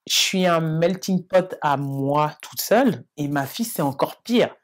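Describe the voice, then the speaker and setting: A woman's voice: low-pitched, animated, a little slow. A woman from France speaking reflectively and earnestly in a casual interview, acting out remembered exchanges. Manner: reflective and earnest, mimics others in quoted exchanges. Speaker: documentary interviewee.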